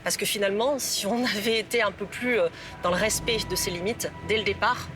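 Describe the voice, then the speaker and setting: A middle-aged woman, a love coach, explaining an exercise in a calm, instructive way. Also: mid-range voice, a little fast, background noise. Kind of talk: coaching talk. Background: background music.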